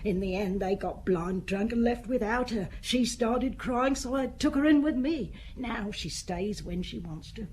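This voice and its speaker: An actress playing a blunt, sociable middle-aged widow who keeps a small bar, talking plainly and colloquially in a radio play. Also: female voice, on the high side, unhurried, hardly any pauses, a little mellow, slight background noise, close to an everyday voice. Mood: matter-of-fact. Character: fat, sociable, blunt older widowed woman bar keeper. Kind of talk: radio drama acting.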